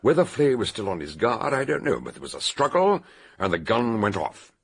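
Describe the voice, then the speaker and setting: An actor playing an eccentric older British detective in a radio drama, theatrically and deliberately laying out a murder solution in a gravelly, husky voice. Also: male voice, fairly low pitch, sing-song, fairly bright tone, heavily acted. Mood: animated, authoritative.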